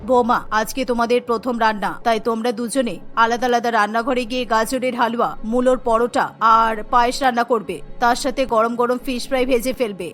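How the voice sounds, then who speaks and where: Older cartoon matriarch, played by a woman, pitched well down, dignified, exacting, measured delivery, music behind. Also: fairly even intonation, fairly bright tone, lightly acted. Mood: instructing. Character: stern older mother-in-law, dignified and exacting.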